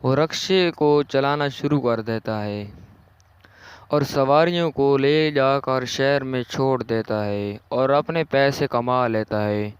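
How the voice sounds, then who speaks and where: A man's voice: medium pitch, slow, smooth, no echo. A man narrating a scripted cartoon story as the storyteller.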